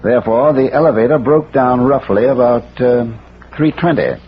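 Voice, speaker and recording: An actor playing a courteous, erudite amateur sleuth in a 1940s radio mystery, hesitant and politely probing. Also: male voice, medium pitch, lively intonation, moderate pace, natural voice. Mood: probing, measured. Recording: muffled, noisy vintage radio recording.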